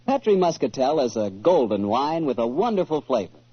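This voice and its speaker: A man working as a radio announcer, delivering a 1940s-style sponsor pitch. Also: sing-song, a little fast, breathy edge, no echo. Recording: muffled old-time radio recording.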